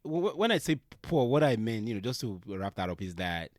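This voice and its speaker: A man's voice: fairly low pitch, big pitch swings, a little fast, no room sound, clean studio sound. A man from Nigeria hosting a casual podcast chat, hedging and correcting himself as he goes. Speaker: podcast host.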